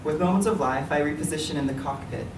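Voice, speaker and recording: Guy reading his poem out loud, slow serious delivery, far from the mic, noisy echoey room. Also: fairly high pitch. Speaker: writer reading own work. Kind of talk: literary reading, dramatic monologue in verse. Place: public reading in a library room. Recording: echoey room, distant mic, clear noise.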